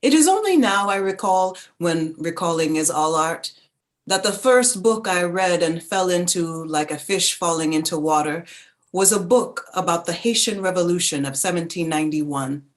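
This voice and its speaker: An actress playing a reflective woman narrator who recalls her childhood in a contemplative, deliberate literary reading. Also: fairly low pitch, expressive, lightly acted.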